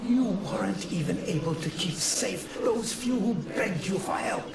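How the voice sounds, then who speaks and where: A woman's voice: mid-range voice, expressive, measured pace, gravelly. An actress playing a stern, contemptuous woman in a game cutscene, reproachful and emphatic. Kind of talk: dramatic game cutscene acting. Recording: echoey, distant, noisy ambience.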